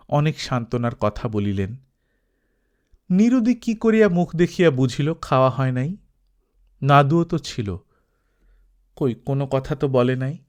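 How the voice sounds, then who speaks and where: Male storyteller, medium pitch, scripted literary narration, expressive delivery, dramatic pauses. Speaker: audiobook storyteller reading a novel.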